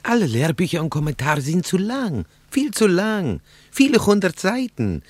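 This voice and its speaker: An actor playing a nervous, talkative young Russian interpreter in a radio drama, husky and emphatic, often repeating himself. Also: male voice, sits high, big pitch swings, moderate pace, darkish tone, no room sound, exaggerated voice. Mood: agitated, amused. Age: young adult.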